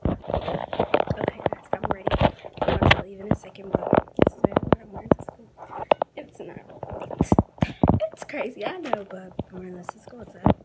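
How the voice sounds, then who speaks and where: A woman's voice: medium pitch, normal speed, long pauses, whispered, low-quality recording. A teenage girl vlogging casually in a chatty, slangy, rambling way, talking into a phone at arm's length. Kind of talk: casual vlog chat. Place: home indoors, then outdoors. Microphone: phone held at arm's length.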